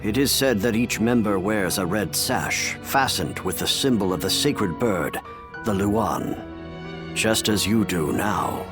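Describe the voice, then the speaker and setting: An actor playing a grave, remorseful imperial official and adoptive father in a video game, with measured, formal delivery. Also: lowish voice, music in the background, natural voice. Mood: solemn, explanatory. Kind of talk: video game cutscene acting.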